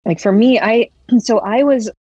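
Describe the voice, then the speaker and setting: A woman's voice: on the high side, unhurried, dark tone, slightly rough, no room sound, lo-fi sound. Reflective young woman, dry close sound. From West Virginia, raised in Florida. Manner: reflective, many "like" fillers. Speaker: podcast guest. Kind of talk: casual conversation.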